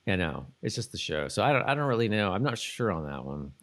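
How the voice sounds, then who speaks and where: A man's voice: on the low side, big pitch swings, fairly bright tone. A middle-aged man co-hosting a podcast in casual chat, hedging and often correcting himself as he goes. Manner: hedging, halting, self-correcting. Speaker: podcast co-host.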